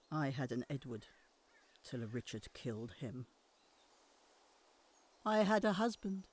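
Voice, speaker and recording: A woman's voice: lowish voice, darkish tone. A woman playing a bitter, vengeful old deposed queen in an audio drama, moving from hissed whispers to fierce curses. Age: older. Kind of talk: Shakespearean audio drama acting.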